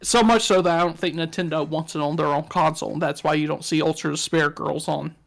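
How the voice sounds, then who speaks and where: A man's voice: fairly high pitch, fairly even intonation, fast talker, creaky, fairly bright tone. A man with a Southern US accent, co-hosting a podcast in frank, joking casual talk. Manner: joking, frank, swears often. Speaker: podcast co-host. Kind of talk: casual chat.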